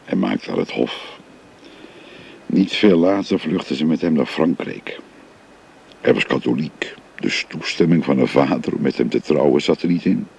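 An actor playing an older father who gently confides his family history to a young woman, in a radio drama. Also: a bass voice, animated, measured pace, creaky, slightly rough, heavily acted. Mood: gentle, confiding. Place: recorded on location.

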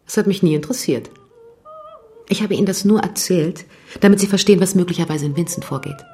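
A woman's voice: lowish voice, fast, mellow, natural voice. An actress playing a curt, unsentimental divorced mother in a radio drama, dismissive and guarded as she answers police questions. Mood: cool, dismissive. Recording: music under the voice.

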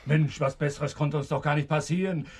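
An actor playing an eager, cynical militant comrade in a radio drama. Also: male voice, on the high side, brisk, breathy edge. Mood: gleeful scheming. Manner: excited, cynical. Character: eager male militant gang comrade, scheming.

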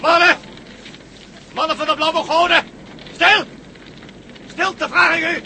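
A villainous male cult leader in a radio play, wounded yet imperious. His voice sits extremely high and cuts sharply. He declaims dramatically over faint background noise. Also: steady tone, slow, creaky, sharp tone, exaggerated voice. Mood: commanding.

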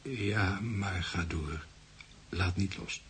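An actor playing a calm psychiatrist in a radio play, coaxing and probing in a measured, clinical way. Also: male voice, low-pitched, breathy edge, exaggerated voice. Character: calm, probing male psychiatrist guiding a patient. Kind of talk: Dutch-language radio play acting.

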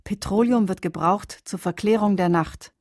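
A woman reciting poetry for a radio drama in deliberate, weighted, declamatory phrases. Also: mid-range voice, darkish tone, no echo, studio quality, close to an everyday voice. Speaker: reader in a radio adaptation of a poem cycle.

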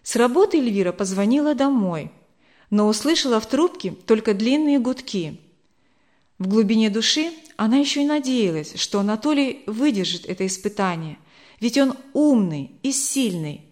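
A woman's voice: a little high, lively intonation, normal speed, a little mellow. A woman narrating a novel as a scripted audiobook.

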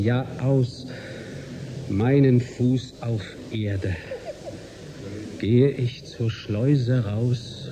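An actor in a German radio drama playing an eager, awkward amateur poet in space, earnest and halting. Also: male voice, fairly low pitch. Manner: earnest, halting, declaims verse. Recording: dull sound with noise behind.

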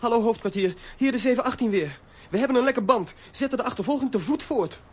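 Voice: medium pitch, lively intonation, quick pace, husky. An actor playing a brave, earnest young boy detective in a children's audio drama. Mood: urgent, dutiful.

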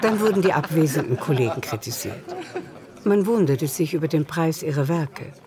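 A woman's voice: low voice, expressive, moderate pace, a crowd in the background. A woman reading scripted literary narration as the narrator of a radio drama.

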